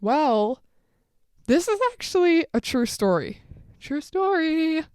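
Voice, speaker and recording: A teenage woman hosting her own podcast, telling a rambling story with lots of side comments. She describes her own voice as on the deep side. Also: very animated, takes it slowly, darkish tone, no room sound, studio quality. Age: teens. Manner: rambling, chatty, full of asides. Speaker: podcast host. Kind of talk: casual solo storytelling.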